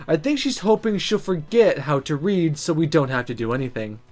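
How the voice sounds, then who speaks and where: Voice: mid-range voice, animated, normal speed, a little mellow. A let's play host reading visual novel narration aloud, with game music behind.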